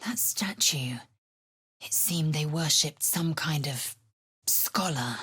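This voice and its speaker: A woman playing a proud, stern githyanki warrior woman in a video game, with a husky rasp and a clipped, imperious, formal delivery. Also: low voice, slow, a bit bright, dry recording, clean studio sound, exaggerated voice. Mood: solemn, guarded. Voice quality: husky, rasping. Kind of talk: dramatic game dialogue and narration.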